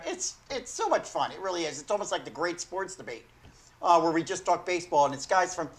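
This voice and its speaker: An older man with a hoarse, failing voice hosting a sports talk show from a small studio desk, rambling and correcting himself as he goes. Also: high voice. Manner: rambling, self-correcting, chuckling. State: hoarse, losing his voice.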